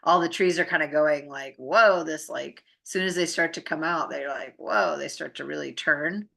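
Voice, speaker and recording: A woman's voice: low voice, moderate pace, vocal fry, pushed and bright. A woman chatting casually and informally, explaining things as a guest in a conversation. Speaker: talk guest. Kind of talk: casual chat, explaining energy practices.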